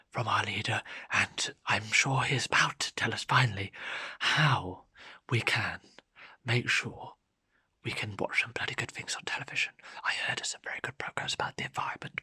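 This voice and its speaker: A man playing a hushed, mock-solemn wildlife documentary narrator. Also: on the low side, breathy edge, no echo, full character voice. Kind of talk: parody nature documentary narration.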